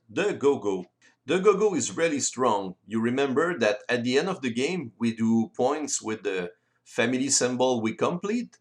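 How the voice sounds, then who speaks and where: Male game-rules presenter, native French speaker with French accent, explanatory delivery, slightly halting phrasing, mid-range voice. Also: medium pitch. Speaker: board game designer and presenter. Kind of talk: explanatory tutorial voice-over.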